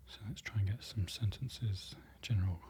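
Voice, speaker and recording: A presenter whispering an ASMR-style lesson, slowly repeating foreign words and correcting themselves. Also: sits low, slight background noise. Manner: whispering throughout, soft and close. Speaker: presenter learning Polish from a book.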